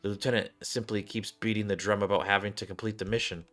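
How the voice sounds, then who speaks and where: A man's voice: fairly low pitch, little pitch movement, fast talker, fairly bright tone, natural voice. A man voicing a grim, weary woman soldier with a serious, formal manner in a sci-fi game. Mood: grave.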